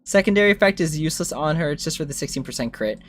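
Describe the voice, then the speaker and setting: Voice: medium pitch, very fast, a little hiss or hum. A game streamer chatting casually and informally, thinking aloud and replying to viewers. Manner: informal, animated reactions to chat. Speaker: game livestreamer.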